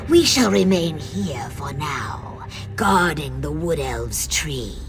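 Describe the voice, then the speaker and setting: An actress playing a grateful, solemn reptilian woman in a fantasy game, speaking earnestly in a hushed, breathy way. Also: deep voice, sing-song, slow, full character voice. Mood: solemn gratitude. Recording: background music under the voice.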